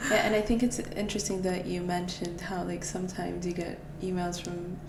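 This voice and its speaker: A woman's voice: on the low side, level tone, normal speed. A woman from Portugal hosting a podcast interview, speaking reflectively and thinking aloud. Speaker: podcast host. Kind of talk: casual interview conversation. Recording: roomy, distant, noisy recording.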